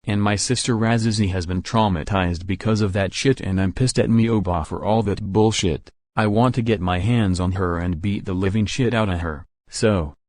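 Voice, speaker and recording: A man's voice: on the low side, normal speed, few pauses, a little mellow, dry recording, clean studio sound, lightly acted. A man voicing an angry, protective cartoon hero with a blunt, profane manner. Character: angry, foul-mouthed male cartoon hero, protective brother. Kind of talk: amateur animated drama dialogue.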